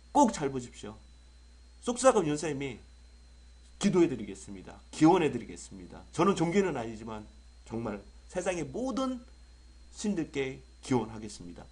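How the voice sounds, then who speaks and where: A young adult male English tutor with a sore throat from a cold, lecturing in an excitable, exaggerated way full of rhetorical questions. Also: medium pitch, moderate pace, pauses often, breathy edge. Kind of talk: online exam-prep lecture.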